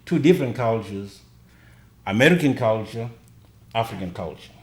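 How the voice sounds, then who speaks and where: A man's voice: fairly low pitch, lively intonation, normal speed, echoey room. A middle-aged man from Zimbabwe, talking earnestly as a podcast guest and repeating key phrases for emphasis. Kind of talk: casual conversation.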